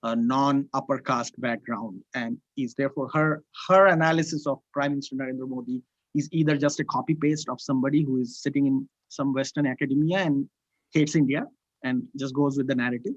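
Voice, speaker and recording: A man's voice: medium pitch, brisk, a bit bright, no echo, highs cut off. A man speaking as a podcast guest, giving a critical, argumentative commentary in long run-on sentences.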